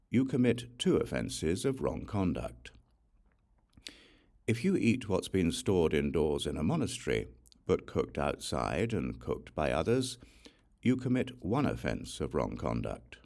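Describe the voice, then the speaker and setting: A man narrates a scripture audiobook in a very deep voice with a creaky, gravelly edge. His phrasing is deliberate and measured, while his intonation moves widely up and down. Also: a little mellow.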